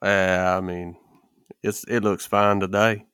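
Smooth-voiced man chatting casually, close and dry. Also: low-pitched, level tone, slow, a bit bright, dry recording. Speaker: podcast co-host. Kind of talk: casual chat, storytelling.

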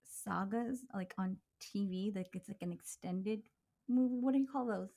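A woman from Southern California co-hosts a casual podcast. Her voice is mid-pitched and easygoing. She runs through topics with plenty of "um" and "like". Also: moderate pace, dark tone. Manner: chatty, outlining topics, fillers. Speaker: podcast co-host. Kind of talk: casual chat.

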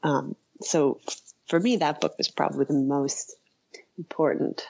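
Woman guest in an interview, deep voice, kinda hesitant, slightly muffled lo-fi sound. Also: steady tone, gravelly, warm tone, slightly rough. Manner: hesitant, halting, thinking aloud. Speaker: interview guest, writer and author. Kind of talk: casual interview conversation.

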